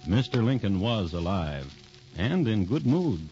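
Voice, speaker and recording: An actor playing a dry, deliberate American crime storyteller hosting a 1950s radio show. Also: male voice, lowish voice, big pitch swings, music in the background. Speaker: host and narrator. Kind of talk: 1950s radio scripted narration. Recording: dull 1950s radio recording.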